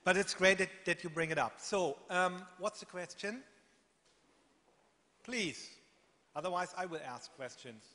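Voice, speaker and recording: A man with a bright, forceful, slightly high voice moderates questions at a conference, talking in an animated, chatty way. His voice carries a long reverb from the hall. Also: unhurried, long pauses. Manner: animated, chatty, laughs, uses "like" a lot. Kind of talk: live Q&A moderation.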